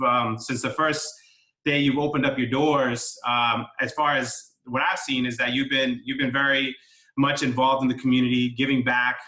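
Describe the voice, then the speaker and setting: A man's voice: mid-range voice, sharp tone, reverberant. A man hosting a casual sit-down interview in a pizzeria, chatty and friendly with lots of fillers. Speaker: host.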